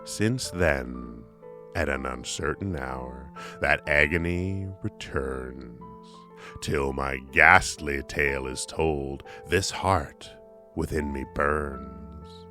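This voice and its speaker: Really deep guy reading old verse, theatrical, forceful tone, takes his time, some music behind. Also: extremely slow. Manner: theatrical, with big swells and drops in volume. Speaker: poetry reader. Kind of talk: dramatic poetry audiobook narration.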